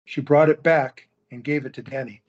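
A man narrating an audio drama in a measured storytelling manner. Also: mid-range voice, fairly even intonation. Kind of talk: scripted narration. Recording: dull lo-fi recording.

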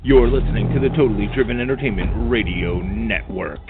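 Low male promo announcer, narrow band sound. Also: fairly quick, over loud music. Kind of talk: scripted radio station ident.

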